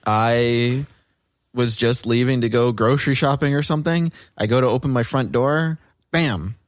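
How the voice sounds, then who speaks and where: A man's voice: medium pitch, normal speed, no echo, studio quality. A man co-hosting a podcast in laid-back casual chat, rambling through stories and often saying "like". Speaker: podcast co-host.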